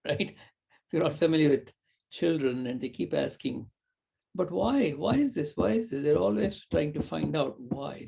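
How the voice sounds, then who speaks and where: A middle-aged man lecturing in a mellow, warm voice that sits a little high for a man. He rambles and thinks aloud, with fillers and "right?" tags. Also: gravelly, breathy edge. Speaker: university lecturer. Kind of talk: recorded lecture.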